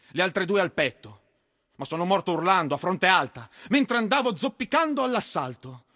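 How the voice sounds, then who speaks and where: A man's voice: on the high side, a bit bright, no echo, clean studio sound. A man playing a proud, defiant one-legged soldier in his thirties recounting his own death in a theatrical monologue. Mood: grave, proud. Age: 30s.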